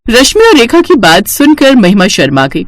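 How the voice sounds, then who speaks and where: A woman's voice: a little high, wildly swooping pitch, moderate pace, a little hiss or hum. A woman narrating a scripted family story in the manner of a storyteller.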